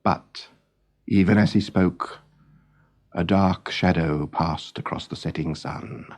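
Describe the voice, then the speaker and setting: A man reading a scripted children's story in a measured, expressive storytelling manner. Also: low voice.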